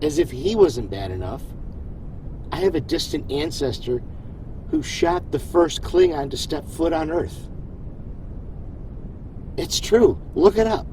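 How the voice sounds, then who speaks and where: A man acts a fatherly starship captain in a sci-fi audio drama. He is supportive and a little self-deprecating, with animated, folksy delivery. A faint rumble runs behind him. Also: a little slow, pauses often, poor audio. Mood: wry. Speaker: voice actor.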